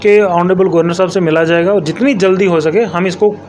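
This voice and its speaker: A man's voice: high-pitched, steady tone, fairly quick, darkish tone, a little hiss or hum. A man answering interview questions as an elected local politician, explaining emphatically and repeating his points with English terms mixed in.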